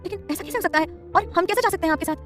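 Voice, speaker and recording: A woman voicing a shy, timid young wife who speaks softly and hesitantly. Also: high voice, quick pace, faint music behind, lightly acted. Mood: firm. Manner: soft, hesitant, pleading.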